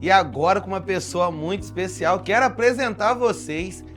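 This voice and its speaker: A man's voice: high voice, a little fast, fairly bright tone, faint music behind. A man with a Brazilian Portuguese accent hosting a faith interview show, upbeat and teasing in a casual chat. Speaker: talk show host.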